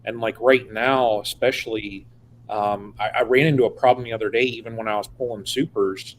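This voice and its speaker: A man's voice: on the low side, fairly even intonation, a little fast, slight background noise, low-quality recording. An American man co-hosting a casual chat, talking in an earnest, emphatic way. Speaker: livestream co-host.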